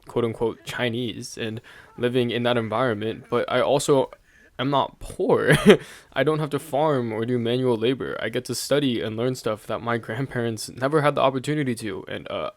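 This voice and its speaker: A man's voice: mid-range voice, dry recording. A young man from the United States giving a casual, rambling opinion monologue, thinking aloud and chuckling often mid-sentence. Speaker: vlogger sharing opinions.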